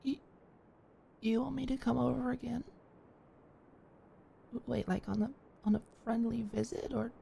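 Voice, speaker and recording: A high-voiced young woman talks close to the mic in a shy, halting way, stumbling over her words and now and then slipping into a soft whisper. A gravelly fry runs under her voice. Faint ambient sound sits behind her. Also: fairly even intonation, a little hiss or hum. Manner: shy, stammering, flustered. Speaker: ASMR roleplay performer. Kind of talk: ASMR roleplay, one-sided dialogue.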